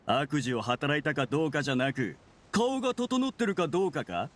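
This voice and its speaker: An actor playing a bitter, sneering researcher turned criminal, sardonic and argumentative, in an anime-style Japanese game. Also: male voice, mid-range voice, fairly quick, fairly bright tone, lightly acted.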